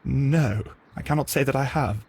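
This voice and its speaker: A flustered young gardener in a detective game. His mid-range voice is husky. He speaks earnestly and somewhat hesitantly, in simple formal sentences. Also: mid-range voice, normal speed, darkish tone. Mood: earnest, troubled. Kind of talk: scripted game dialogue, giving testimony.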